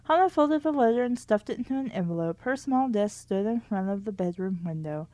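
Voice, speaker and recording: A woman reads a story aloud at a middling pitch in a dry, close recording. Her style is casual, and she breaks into wry, candid commentary as she goes. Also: animated, a little fast, warm tone, no echo. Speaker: host reading a children's book aloud and commenting.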